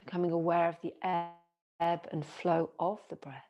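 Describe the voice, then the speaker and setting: Slow-speaking woman guiding deep relaxation, low-pitched. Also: flat delivery, muffled recording. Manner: soothing, gentle, unhurried with long pauses. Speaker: yoga nidra teacher. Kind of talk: guided relaxation meditation.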